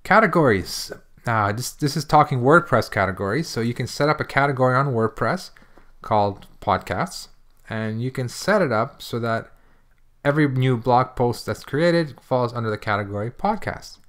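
A man with a mid-pitched voice presents a software walkthrough. He talks informally, like a screencast tutor, and explains each step in turn as he goes. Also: animated, moderate pace. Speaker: software demonstrator.

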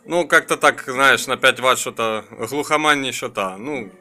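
A man's voice: medium pitch, a little slow, pushed and bright. A man chatting casually as a radio operator, in friendly colloquial language and clipped radio phrases. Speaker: amateur radio operator running an antenna test. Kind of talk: casual ham radio chat.

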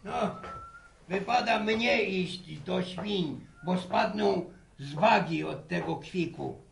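Grumbly old farm grandpa, radio play, slow n creaky, stammers out folksy sayings. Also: male voice, sits high, a little hiss or hum, full character voice. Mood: grumbling. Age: older. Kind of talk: Polish radio drama acting. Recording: roomy, distant old radio recording.

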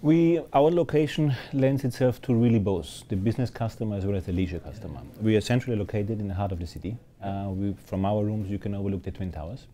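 A man's voice: lowish voice, fast, hardly any pauses, warm tone. A man from Austria in his fifties, a hotel general manager giving upbeat, fluent interview answers in a hotel lounge.

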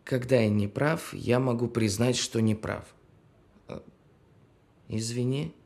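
An actor playing a weary man who talks haltingly and conciliatorily to an AI assistant in a scripted audio film. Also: mid-range voice, darkish tone. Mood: conciliatory.

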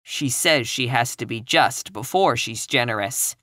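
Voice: mid-range voice, measured pace, bright, forceful tone, dry recording, clean studio sound. A narrator as a frank, confiding teenage girl.

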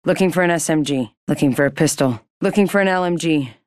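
A woman's voice: deep voice, quick pace, no echo, clean studio sound, natural voice. An actress voicing a cool female combatant in a shooter game, giving terse callouts. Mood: businesslike.

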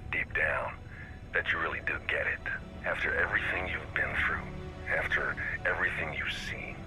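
An actor playing a menacing, persuasive rogue agent in a video game cutscene, speaking deliberately with insinuating pauses. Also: male voice, sharp tone, full character voice, poor audio. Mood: smug, persuasive. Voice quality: gravelly, breathy low voice. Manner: deliberate, insinuating, halting for effect.

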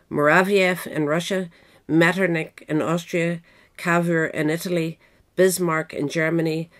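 A woman reading scripted nonfiction narration as an audiobook reader. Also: deep voice. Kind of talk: scripted narration of a political history essay.

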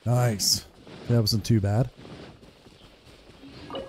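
A man's voice: mid-range voice, fairly even intonation, extremely slow, a little mellow, a little hiss or hum. A man in his thirties giving casual game commentary, muttering and exclaiming to himself. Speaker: video game streamer. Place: indoor room at home. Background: game music.

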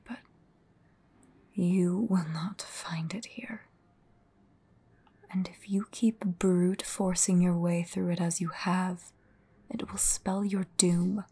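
Cryptic female seer, played by an actress, breathy husky voice, unhurried grave warnings, audio drama. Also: fairly low pitch, a little mellow, full character voice. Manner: measured, ominous, earnest. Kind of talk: audio roleplay acting.

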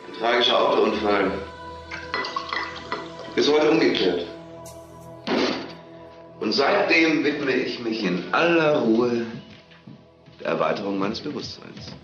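An actor playing a smug, cynical, self-absorbed suspect in a German crime radio drama, talking with sardonic arrogance. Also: male voice, mid-range voice, lively intonation, slow, pauses often, lo-fi sound, music in the background.

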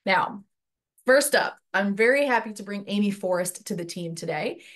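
A woman's voice: mid-range voice, lively intonation, normal speed. A young adult woman hosting an online panel from a room at home, speaking into a headset mic in a chatty, rambling way. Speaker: host.

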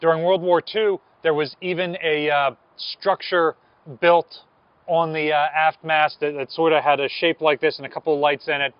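A man's voice: fairly high pitch, flat delivery, moderate pace, no room sound, muffled recording. An American man in his thirties giving an informal explanatory talk to camera as a museum presenter, outdoors on a lapel mic. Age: 30s. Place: outdoors on a ship's deck. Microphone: clip-on lapel mic.

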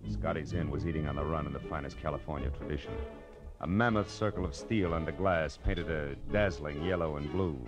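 An actor playing a tough, wisecracking American private detective in 1940s radio drama style, clipped and sardonic. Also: male voice, rumbling low. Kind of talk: 1940s radio drama acting, hardboiled narration. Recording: muffled old radio recording with music.

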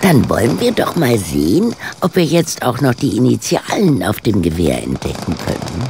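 An actor playing a composed, dryly witty male amateur sleuth in a German detective radio play, with a measured, slightly formal delivery. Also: medium pitch, wildly swooping pitch, gravelly, slight background noise. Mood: composed, deductive.

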